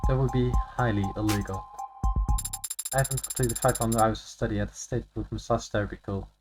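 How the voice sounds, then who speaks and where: A man voicing a wry, sardonic high-powered defense lawyer in a video game, with dry, questioning delivery. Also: fairly even intonation, brisk, close to an everyday voice. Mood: probing, wry. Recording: loud music behind the voice.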